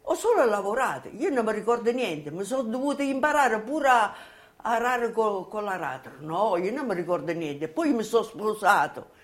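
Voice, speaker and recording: Elderly female witness, mid-range voice, plainspoken and halting, recounting memories. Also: mid-range voice, expressive. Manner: plain, repetitive, halting, ungrammatical folk speech. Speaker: former colonist giving testimony. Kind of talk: spoken personal recollection.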